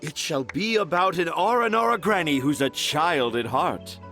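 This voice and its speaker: An actor playing a theatrical, self-important children's author in a video game, speaking in flowery, poetic phrases. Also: male voice, a little high, expressive, normal speed, pushed and bright. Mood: pleased, grand. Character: flamboyant, dreamy, self-important male children's storyteller and father. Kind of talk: video game character acting. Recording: music behind the voice.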